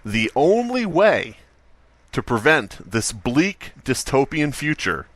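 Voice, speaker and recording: A man's voice: mid-range voice, big pitch swings, slow pace, fairly bright tone, no room sound. A man hosting a comedy podcast, talking in a sarcastic, exasperated way. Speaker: podcast host. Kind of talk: comedic podcast chat and sketch narration.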